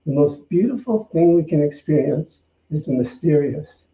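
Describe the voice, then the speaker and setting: A man giving an informal spiritual talk as a minister, conversational and reflective, pausing and feeling for his words. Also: on the high side, animated. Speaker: minister, co-host. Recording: slightly dull sound, roomy echo.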